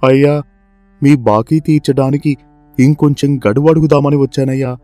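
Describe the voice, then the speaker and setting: A man's voice: medium pitch, steady tone, moderate pace, warm tone, dry recording, faint music behind, close to an everyday voice. A man voicing a humble pleading poor farmer. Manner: pleading, deferential. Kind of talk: animated story voice acting.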